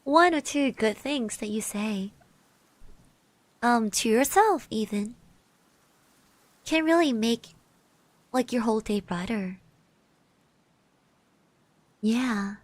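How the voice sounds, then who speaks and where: Woman playing a slow, soft-spoken shy schoolgirl classmate. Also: a little high, lively intonation, dry recording. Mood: shy, encouraging. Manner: hesitant, bashful, gently encouraging. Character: shy, nervous, caring schoolgirl classmate cheering you on. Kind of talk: soft ASMR roleplay, partly whispered.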